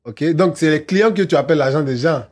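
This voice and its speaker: Man from Côte d'Ivoire, podcast host, slightly high voice, probing questions. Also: fairly quick, a little mellow. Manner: probing, frequent short agreements. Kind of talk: podcast interview.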